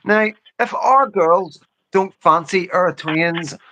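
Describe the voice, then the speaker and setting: A man's voice: high-pitched, takes it slowly, pushed and bright, slight background noise, low-quality recording. An English man in his fifties chatting casually on a livestream, insistent and often repeating his phrases. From England. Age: 50s. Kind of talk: casual opinionated livestream chat.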